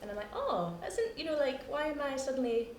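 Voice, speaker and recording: A young woman with a Scottish accent, a playwright chatting in a post-show discussion, self-mocking and quick to laugh. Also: sits high, very animated. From Scotland. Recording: echoey room, distant mic, noisy.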